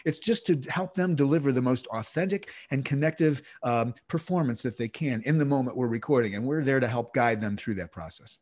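A middle-aged man talks through podcasting as a guest on a video call, at an average pitch. He explains at length and restarts his sentences often. The sound comes through a small headset mic in a home office. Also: a little fast, few pauses, a little mellow. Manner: fluent, explanatory, with frequent restarts and fillers. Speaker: podcast guest, audio producer. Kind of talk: conversational interview over video call.

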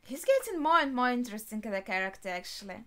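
A woman in her twenties reacting casually on camera in a small room, thinking aloud in a halting way. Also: on the high side, sing-song. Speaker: reaction video host. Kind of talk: casual spoken reactions to an anime episode. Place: small bedroom, indoors.

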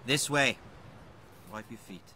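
An actor playing a vain, quick-witted young male wizard in a radio drama, sardonic and theatrical. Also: medium pitch, sharp tone, a little hiss or hum. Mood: irritated.

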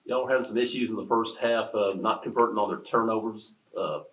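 Man, low-pitched voice, sports reporter, press conference questions, indoor room. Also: a little fast, fairly bright tone, muffled recording.

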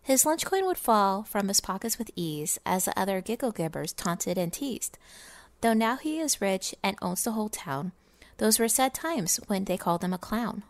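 A woman giving a dramatic, expressive storybook reading in sing-song rhyming verse. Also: mid-range voice, normal speed, dry recording. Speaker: gaming video creator reading a story.

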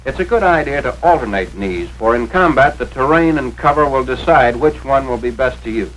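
A man with an American accent reads scripted instructions as the narrator of a 1940s training film. His medium-pitched voice is authoritative and carefully enunciated. The old film soundtrack carries a faint layer of noise. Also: animated, a little fast, a bit bright, low-quality recording.

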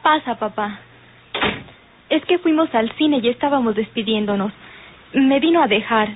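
An actress plays a determined young daughter in a radio serial. Her voice is high and composed. The old recording is thin and phone-like. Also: moderate pace, lightly acted. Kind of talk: old radio soap acting. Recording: tinny old radio recording, faint noise.

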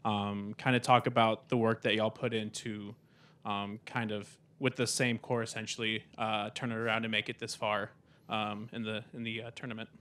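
A man's voice: on the low side, little pitch movement, a bit bright. A man moderating a postgame press conference, asking questions with many "um"s. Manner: halting, many "um"s.

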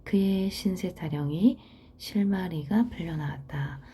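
A woman teaching literature, reading a story aloud and explaining it in a soft, half-whispered delivery. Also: on the low side, measured pace, husky.

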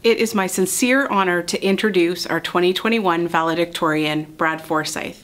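A woman's voice: on the low side, a bit bright. A middle-aged woman teacher presenting an award and introducing the valedictorian, speaking with pride. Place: school building near windows.

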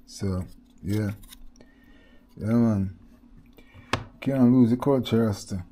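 This is a middle-aged man with a Jamaican accent, talking through his cooking in a home kitchen. His voice sits low and dark, mellow rather than bright, with an easygoing, chatty way of drifting into Patois. It is picked up by a head-mounted camera while his hands are busy. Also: slow, a little hiss or hum. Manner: laid-back, drifting into Patois, chuckling asides. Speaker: cooking vlogger. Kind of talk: casual cooking chat with storytelling.

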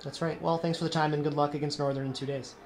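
A man's voice: fairly high pitch, level tone, quick pace. A man acting as postgame interviewer, recapping sports numbers and putting questions to a coach. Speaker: press conference interviewer. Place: indoor press conference room, off camera. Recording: faint background noise.

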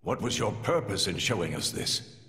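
A stern warrior in a game cutscene, formal and stately. The voice is low and gravelly, ringing in a long hall reverb. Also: male voice, very animated, moderate pace, pushed and bright, soft background music, heavily acted. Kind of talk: dramatic video game cutscene acting.

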